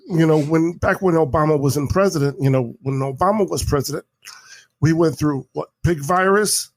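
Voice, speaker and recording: A man co-hosting a podcast in casual chat, insistent and opinionated, with quick apologetic asides. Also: a little high, dry recording. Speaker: podcast co-host.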